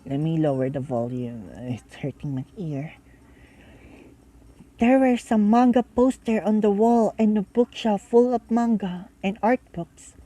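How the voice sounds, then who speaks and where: A woman reading a visual novel aloud with casual asides, halting and stumbling over words as she goes. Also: mid-range voice, animated, warm tone, low-quality recording. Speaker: reader and commentator.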